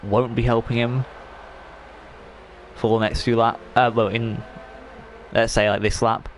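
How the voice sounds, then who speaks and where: A man's voice: medium pitch, level tone, measured pace, slight background noise, low-quality recording. A man commentating a sim racing league race in a chatty, rambling way, while he is losing his voice. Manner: chatty, rambling, frequent fillers and restarts.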